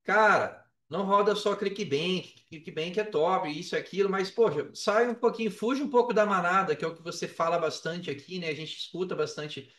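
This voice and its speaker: A man with a notably high voice and a Brazilian Portuguese accent speaks as a podcast guest. He tells stories casually in slangy, repetitive bursts and acts out what people said. The sound is roomy and a little far from the mic. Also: normal speed, few pauses, a bit bright. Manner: slangy, swearing, emphatic repetition, acts out reported dialogue. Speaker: podcast guest, affiliate marketer. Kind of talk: casual, animated personal storytelling on a podcast. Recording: roomy echo, distant from the mic.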